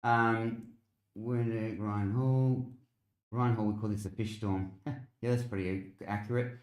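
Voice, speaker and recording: A low-pitched Australian man speaks in a noticeably roomy, echoing space. He hosts a live weather commentary in an informal, conversational way, explaining as he goes and answering the chat. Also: steady tone, slow, a little mellow. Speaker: weather livestream host.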